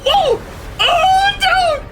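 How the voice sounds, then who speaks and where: A man reacting to a boss fight as he plays, exclaiming and shouting constantly in excitement. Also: extremely high pitch, extremely slow, over music. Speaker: gaming channel host. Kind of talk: live gameplay reaction.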